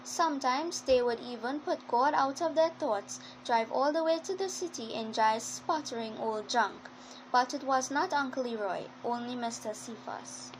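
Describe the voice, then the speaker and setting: A woman's voice: high-pitched, normal speed, a little hiss or hum, low-quality recording. A woman reading scripted first-person story narration in the role of a schoolgirl. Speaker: narrator, speaking as a schoolgirl character.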